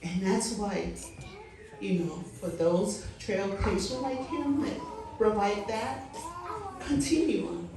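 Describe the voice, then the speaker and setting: Slow, heartfelt woman in a hall. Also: medium pitch, dark tone. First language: Samoan. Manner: slow, heartfelt, emphatic delivery. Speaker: community leader giving a tribute. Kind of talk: public tribute speech. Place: large echoey hall. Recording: echoey hall from far off.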